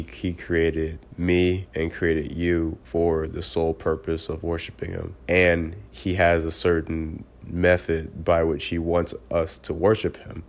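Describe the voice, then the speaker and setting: A man with a congested, stuffy voice, talking earnestly to camera in a casual personal video reflection, often clipping and restarting words. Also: low-pitched. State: congested from a cold. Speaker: vlogger.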